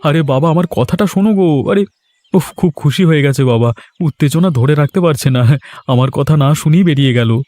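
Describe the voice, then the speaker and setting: A man playing an earnest, modest young adult son in a village moral-story cartoon, speaking in a measured way. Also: a little high, mellow, no room sound, faint music behind, natural voice. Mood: pleased.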